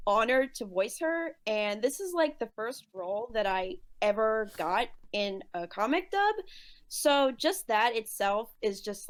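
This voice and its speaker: Female cast member, somewhat high voice, informal conversational delivery. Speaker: fan dub voice actor. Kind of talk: casual chat.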